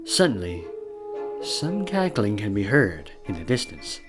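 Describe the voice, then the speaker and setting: Theatrical, very animated male narrator. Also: lowish voice, unhurried, over music. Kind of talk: dramatic scripted comic narration.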